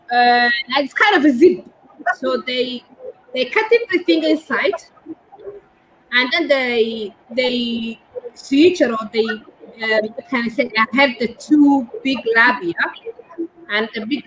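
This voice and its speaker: A woman in her thirties with a Somali accent, explaining haltingly as an interview guest on a video call, searching for words. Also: sits high, fairly bright tone, husky, rough recording. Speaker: guest, doctor and women's rights activist. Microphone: laptop or phone on a video call.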